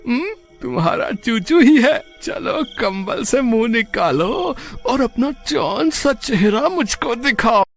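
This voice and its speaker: A man's voice: extremely high pitch, big pitch swings, takes it slowly, vocal fry, few pauses, soft background music, full character voice. A man voicing a goofy, flirty village rogue who woos with a smarmy, giggly manner in a cartoon.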